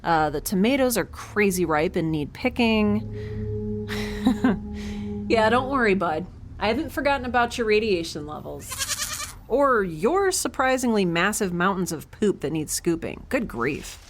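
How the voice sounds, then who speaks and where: A woman's voice: medium pitch, big pitch swings, unhurried, a little hiss or hum. A woman playing a quirky, nervous scientist aboard a spaceship in an audio drama, rambling with sarcastic, self-deprecating humor. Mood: breezy, wry. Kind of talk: conversational audio drama acting.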